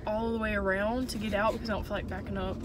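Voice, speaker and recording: A woman's voice: medium pitch, level tone, rapid-fire, background noise. A young woman talking casually to a phone camera from her car, rambling with lots of fillers. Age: young adult. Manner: chatty, rambling, many "um" and "like". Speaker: vlogger. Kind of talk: casual vlog chat. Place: inside a parked and moving car. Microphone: phone camera on the dashboard.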